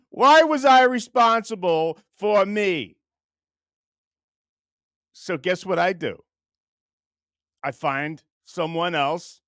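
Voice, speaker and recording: A man's voice: high voice, very slow, long pauses, fairly bright tone, dry recording, studio quality, full character voice. A man voicing a whiny, entitled puppet comedian in an exaggerated, self-important parody monologue. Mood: gleeful, boastful. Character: whiny, entitled, high-voiced puppet comedian, indignant diva.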